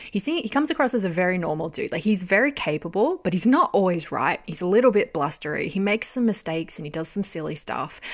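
Young woman, lower register, casual rambling reviewer with self-aware laughs. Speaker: drama reviewer.

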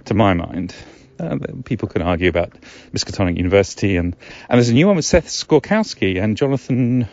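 Male podcast host, lower register, chatty and digressive, casual solo delivery, slightly dull lo-fi sound. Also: animated, a little fast, a little mellow. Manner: chatty, digressive, self-correcting.

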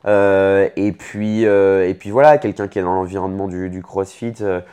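Casual young Frenchman with a lowish voice. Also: level tone, moderate pace, warm tone, smooth. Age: late 20s. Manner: informal, franglais slang mixed in. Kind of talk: casual chat about career and personal life.

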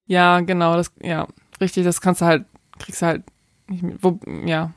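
A woman's voice: on the low side, little pitch movement, normal speed, dry recording, clean studio sound. A young adult woman co-hosting a casual film chat, enthusiastic and slangy, laughing often. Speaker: podcast co-host.

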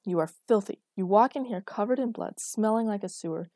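A woman's voice: on the high side, lively intonation, normal speed, creaky, dark tone, slightly rough, dry recording, studio quality, pitched above the performer's own voice, full character voice. A woman voicing an angry, controlling but protective and exasperated man in a dramatic story reading. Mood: angry, accusing.

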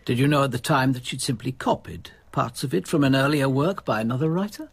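An actor playing a composed, probing police detective in a British radio drama, formal, precise and insistent. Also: male voice, medium pitch, a little fast, vocal fry, breathy edge. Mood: measured, incisive.

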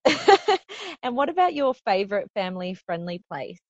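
A woman's voice: a little high, big pitch swings, normal speed, no echo, muffled recording. Bubbly Australian woman podcast host. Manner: bubbly, enthusiastic. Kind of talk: scripted intro then casual chat.

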